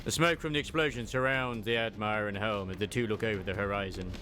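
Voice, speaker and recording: A man's voice: lowish voice, steady tone, fast talker, over music. A man reading scripted story narration as a voice-over for a fandub.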